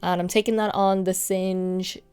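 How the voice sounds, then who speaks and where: A young woman talks her way through a makeup look in a relaxed, chatty way. Her voice carries a slight nasal, sniffly edge from a cold she is still getting over, and she slips into soft whispers now and then. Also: mid-range voice, a little slow, smooth. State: congested, recovering from a cold. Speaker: makeup vlogger. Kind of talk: casual makeup tutorial chat.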